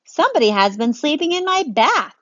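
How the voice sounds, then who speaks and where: A woman voicing an indignant father who loudly exclaims about a break-in. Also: high-pitched, very animated, a little fast, no echo, voice pushed up. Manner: loud, outraged exclamations. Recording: dry, dull lo-fi sound.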